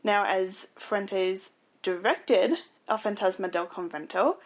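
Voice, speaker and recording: A woman's voice: medium pitch, fairly even intonation, takes it slowly. A woman co-hosting a casual podcast, chatting and recapping information in an informative way. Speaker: podcast co-host.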